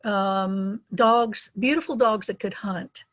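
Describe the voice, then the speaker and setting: A woman's voice: mid-range voice, slow, fairly bright tone, no echo, highs cut off. A middle-aged woman, a dog breeder, telling anecdotes casually in an interview. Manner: chatty, anecdotal, laughs between stories.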